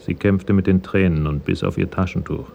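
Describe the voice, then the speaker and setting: Deep, gravelly male narrator. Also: steady tone, fairly quick, a little mellow, slight background noise. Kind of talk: scripted narration in a 1980s German radio crime drama.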